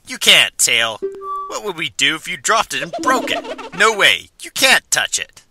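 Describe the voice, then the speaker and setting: A man's voice: fairly high pitch, huge pitch swings, measured pace, heavily acted. A man voicing a small, bossy female fairy scolding her brother. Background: game music.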